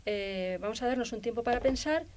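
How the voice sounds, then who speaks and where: A woman's voice: on the high side, expressive, a little mellow. Woman hosting a radio discussion. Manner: brisk, moderating, prompting guests. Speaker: radio host. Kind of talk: hosting a radio round table. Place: radio studio.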